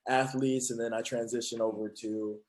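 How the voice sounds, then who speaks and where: A man's voice: medium pitch, level tone, moderate pace. Earnest young man from California. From Fresno, California. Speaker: guest, trainer. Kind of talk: casual interview.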